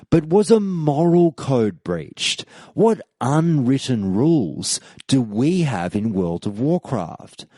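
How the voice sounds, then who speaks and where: A man hosting a gaming history podcast, giving measured, scripted commentary and reading forum posts aloud. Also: mid-range voice, mellow, no room sound, studio quality. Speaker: podcast host.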